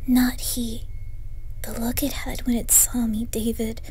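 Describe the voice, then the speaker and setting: Scared young girl in a horror story woman acting, high and slow, intense, begging, often hushed. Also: level tone, heavy background noise, close to an everyday voice. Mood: whispering, terrified. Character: terrified young woman trapped at home by a stalking entity. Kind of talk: dramatised horror story reading.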